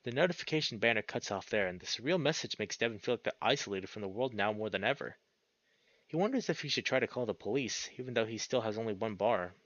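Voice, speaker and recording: A man reading a visual novel aloud as expressive narration, breaking off into casual, sweary, slangy reactions. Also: fairly low pitch, fast, no room sound, lo-fi sound. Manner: animated, sweary, slangy interjections.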